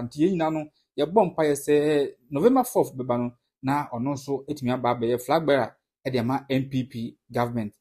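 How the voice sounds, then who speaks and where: A Ghanaian man, a native Twi speaker, comments earnestly to his online audience, addressing them like family. His voice is husky and a touch breathy, with a mellow, dark tone. He leans on repetition to drive his points home. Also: mid-range voice. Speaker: political commentary vlogger. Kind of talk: casual commentary to followers.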